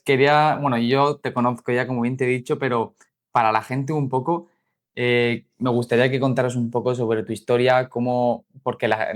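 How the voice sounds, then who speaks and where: Male podcast host, fairly low voice, casual, conversational, rephrases questions. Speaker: podcast interviewer.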